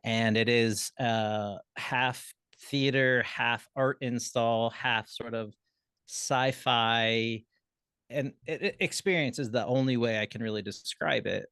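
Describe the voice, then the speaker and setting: A man with a medium-pitched voice speaks on a panel over a video call, with a dry sound. He explains in a casual, halting way, building each thought piece by piece. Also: fairly bright tone, clear, resonant tone, dry recording. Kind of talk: conversational panel discussion.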